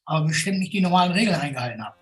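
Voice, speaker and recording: A man's voice: on the high side, lively intonation, racing, fairly bright tone, rough recording. A man talking casually and colloquially about his own experience. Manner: colloquial, plain-spoken. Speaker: formerly homeless city tour guide. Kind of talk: casual spoken testimony.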